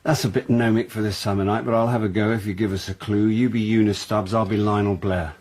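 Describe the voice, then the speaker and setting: A low-pitched, middle-aged Englishman played by an actor for a radio mystery. He is a boozy, self-deprecating amateur sleuth. His delivery is dry, droll and wordy, full of wry asides. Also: steady tone, quick pace, a little mellow, close to an everyday voice. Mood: tipsy banter. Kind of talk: radio drama acting.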